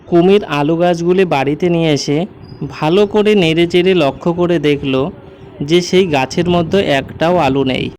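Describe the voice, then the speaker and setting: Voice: mid-range voice, moderate pace, darkish tone, a little hiss or hum. A storyteller narrating a scripted children's folktale for a cartoon.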